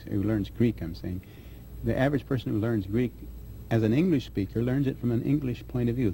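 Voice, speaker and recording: A man answering interview questions as a scholar, speaking haltingly with restarts mid-phrase. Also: mid-range voice, gravelly, mellow, a little hiss or hum, low-quality recording. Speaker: guest scholar.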